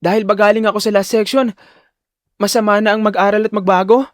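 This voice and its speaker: A man voicing a determined, defiant male high school student in an audio drama, speaking firmly and formally. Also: little pitch movement, fairly quick, no echo, clean studio sound, lightly acted. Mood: defiant, challenging. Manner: firm, formal, respectful but challenging.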